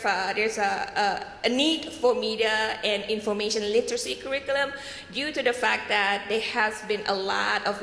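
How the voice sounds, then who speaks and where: A woman's voice: mid-range voice, fairly bright tone. Malaysian woman presenting in an echoey hall. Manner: halting, frequent fillers and "what we call it". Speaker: conference panelist. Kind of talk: panel presentation. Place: conference hall.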